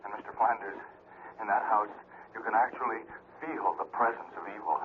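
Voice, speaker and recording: An actor plays a frightened man urgently warning of evil. His voice is lowish, grave and pleading. It comes through as thin, faintly noisy vintage radio drama. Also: a little fast, natural voice. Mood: fearful, urgent. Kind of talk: 1970s radio drama acting. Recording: thin, noisy old radio phone-call scene.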